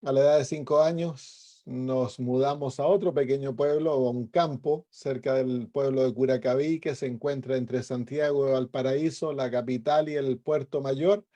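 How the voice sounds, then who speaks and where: A middle-aged man with a Chilean accent, an evangelist pastor sharing a personal testimony in a conversational storytelling way, with hesitant pauses. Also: mid-range voice, steady tone, dark tone.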